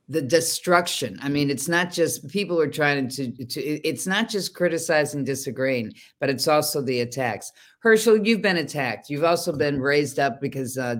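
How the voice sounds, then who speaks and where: A show host asking long, emphatic questions in a political interview. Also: medium pitch.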